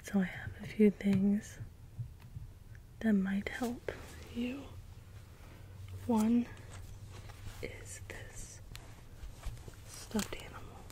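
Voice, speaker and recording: A gentle caretaker robot, played by a woman in an ASMR role play, speaks in soft breathy whispers. The delivery is flat, slow and halting, measured out phrase by phrase. It sounds literal-minded, naive and eager to help. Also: mid-range voice, poor audio. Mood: gently helpful. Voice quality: breathy whisper, mostly unvoiced. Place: indoors, small room, close in front of backdrop. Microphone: close ASMR microphone.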